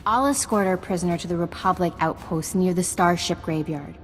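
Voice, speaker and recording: A woman playing a composed, resolute Jedi master in a video game, speaking in a measured, earnest and authoritative way. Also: fairly low pitch, lightly acted. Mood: businesslike. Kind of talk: scripted video game acting. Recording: game music behind.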